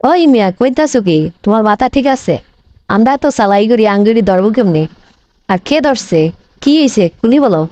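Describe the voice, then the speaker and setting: A woman's voice: on the high side, animated, a little fast, dark tone, dry recording, highs cut off, lightly acted. A woman playing a dramatic, blaming wife and shop boss in a comic cartoon, wailing and scolding in a regional Bangladeshi Bengali dialect. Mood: irritated, questioning. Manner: wailing, scolding, repetitive complaining.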